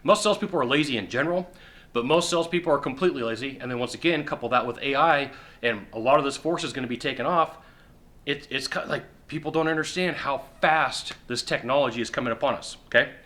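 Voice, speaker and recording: A middle-aged man giving sales advice straight to the camera from a sofa indoors, emphatic and repetitive, with quick acted-out asides. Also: medium pitch, bright, forceful tone. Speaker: sales coach. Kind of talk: direct-to-camera advice talk. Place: indoor living room on a sofa.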